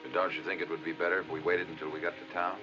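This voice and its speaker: An actor playing a courteous, cautious middle-aged lawyer with a dry, understated manner in a 1950s film. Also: male voice, deep voice, little pitch movement, racing, lightly acted. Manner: polite, understated. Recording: muffled old film, music behind.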